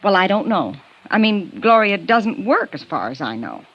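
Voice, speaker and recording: A flustered apartment manager dodges questions in a nervous, fluttery way. Her pitch leaps and dips. The sound is a dull, lo-fi vintage radio recording. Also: sing-song, moderate pace. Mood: defensive. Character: flustered middle-aged female building manager. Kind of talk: 1950s radio drama acting.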